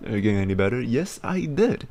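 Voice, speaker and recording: A man's voice: medium pitch, very animated, quick pace, a little mellow. A man streaming casually, chatting with viewers and reading their messages, his speech slightly slurred from a healing tongue injury. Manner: rambling, self-deprecating, laughs often, reads chat aloud.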